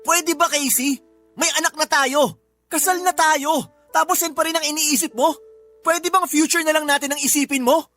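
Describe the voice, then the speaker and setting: Radio drama casting: defensive young father, high strained-up pitch, argumentative, exasperated, soft music behind. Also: steady tone, moderate pace. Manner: pleading, argumentative. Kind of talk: Filipino radio drama acting.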